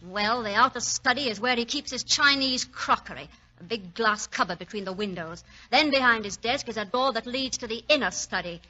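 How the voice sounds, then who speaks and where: A woman playing a bitter, nervous fallen young lady with blunt, rough talk, in 1940s radio drama style. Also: on the high side, moderate pace, hardly any pauses, bright, forceful tone. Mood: blunt, matter-of-fact. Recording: dull lo-fi old radio recording.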